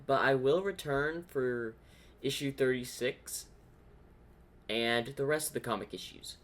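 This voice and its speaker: A man with a medium-pitched voice gives casual reaction commentary. He hosts in an informal, halting way, working out his thoughts as he goes. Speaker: reaction video host.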